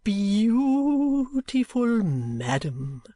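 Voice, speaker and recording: A woman voicing an eccentric, courtly old gentleman in a storybook, with pompous, grandiose phrasing. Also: a little high, big pitch swings, no room sound, voice pushed up, exaggerated voice. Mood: courtly wooing. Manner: florid, pompous, drawn-out phrasing.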